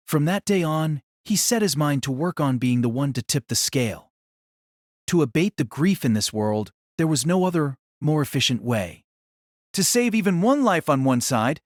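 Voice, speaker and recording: A man narrating a novel as a dramatic audio reading, solemn and theatrical, with measured phrasing. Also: a little high, dry recording, studio quality.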